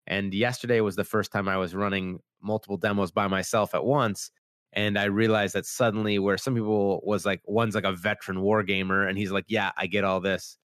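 A man's voice: lowish voice, flat delivery, a little fast, fairly bright tone, dry recording, studio quality. A young man hosting a casual chat, rambling with lots of fillers, his voice a little worn after being sick. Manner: rambling, many "like" fillers, acts out quoted lines. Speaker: podcast host.

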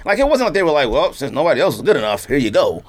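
A man's voice: on the high side, sing-song, very fast. A man in a casual sports debate, talking in a slangy, insistent way.

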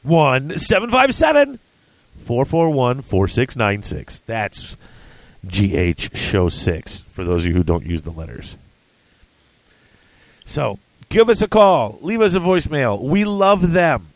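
A man's voice: mid-range voice, very animated, slow, no room sound, rough recording. A man hosting a casual podcast, congested and breathing hard, chatty and self-deprecating with many fillers. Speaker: podcast host.

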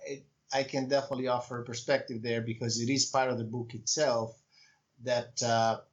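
Italian-accented man, reflective and measured. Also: medium pitch, little pitch movement, dull audio. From Italy. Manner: measured, reflective, often pauses with fillers. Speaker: author, podcast guest. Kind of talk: conversational interview.

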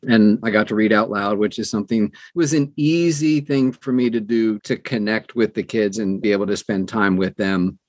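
Animated older man telling personal stories. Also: lowish voice, moderate pace, hardly any pauses, no room sound. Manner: animated storyteller who acts out quoted dialogue. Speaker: guest, audiobook narrator. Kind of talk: conversational personal storytelling.

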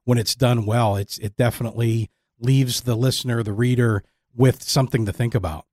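A man's voice: mid-range voice, fairly even intonation, moderate pace, a little mellow, no room sound. Dry-sounding male podcast interviewer. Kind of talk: conversational interview.

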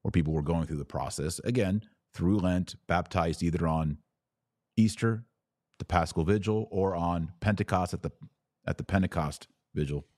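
A man with a low voice hosts a religious podcast in a very dry recording. He speaks informally and directly to his listeners. His delivery is conversational, with firm emphasis on his points. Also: moderate pace, darkish tone, no echo. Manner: emphatic, conversational, acts out imagined dialogue.

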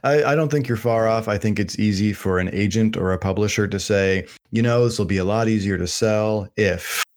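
A man's voice: lowish voice, brisk. A man in his thirties hosting a casual podcast chat, rambling and hesitant as he searches for words. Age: 30s. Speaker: podcast host.

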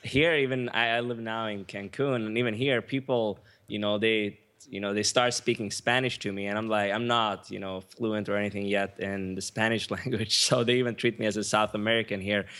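A man's voice: lowish voice, steady tone, a little fast, few pauses, a bit bright. A man from Sweden, a guest in an interview, talking eagerly and filling his speech with lots of "you know". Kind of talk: casual interview chat.

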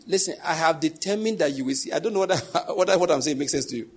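A man's voice: on the high side, lively intonation, quick pace, slightly rough. A young adult man preaching a sermon, emphatic, repeating key phrases and putting questions to the congregation. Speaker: pastor.

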